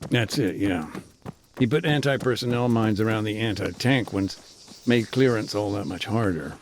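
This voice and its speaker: A middle-aged man voicing a frank former combat engineer turned demining worker, measured and matter-of-fact in a game cutscene. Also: lowish voice, slight background noise, lightly acted. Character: weary male ex-soldier turned deminer, frank. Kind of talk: voiced game cutscene dialogue.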